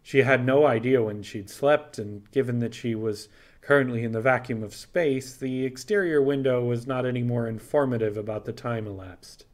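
A man's voice: medium pitch, normal speed. Male narrator reading science fiction. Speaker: audiobook narrator. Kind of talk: scripted fiction narration with character voices.

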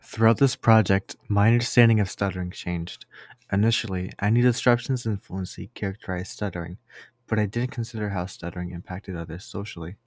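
Young man, low voice, reading script, dry close sound. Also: fairly even intonation, brisk, no echo. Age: young adult. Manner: reads a prepared script. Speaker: student presenter. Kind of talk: reading a class presentation.

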